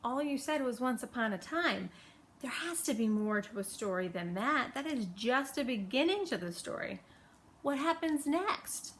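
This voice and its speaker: A woman's voice: high voice, lively intonation, moderate pace, fairly bright tone, slight background noise. A woman voicing a bossy, exasperated little girl who explains things emphatically in a storybook reading. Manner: emphatic, instructing.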